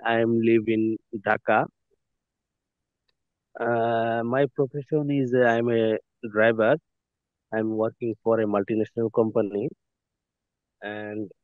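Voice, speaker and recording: Slow halting man on a phone line. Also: mid-range voice, no room sound. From Bangladesh. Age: 30s. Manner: halting, many fillers, polite "sir". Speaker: student. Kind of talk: self-introduction in class. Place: in a car.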